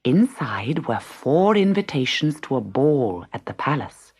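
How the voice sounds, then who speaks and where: A woman storyteller giving an expressive scripted reading of a fairy tale. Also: very deep voice, a little slow, husky.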